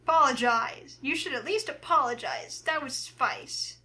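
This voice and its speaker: A woman's voice: high voice, moderate pace. A woman playing a feisty, easily flustered young heroine in a visual novel, speaking expressively. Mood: indignant. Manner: expressive, indignant when provoked. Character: feisty, flustered young woman narrating her own romance.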